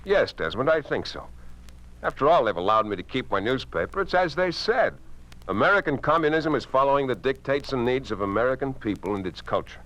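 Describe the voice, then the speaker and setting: A man's voice: medium pitch, expressive, a little fast, a bit bright, close to an everyday voice. A man acting a confident, ambitious newspaper publisher and affable boss in a 1950s radio drama. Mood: firm, insistent. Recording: noisy 1950s radio recording.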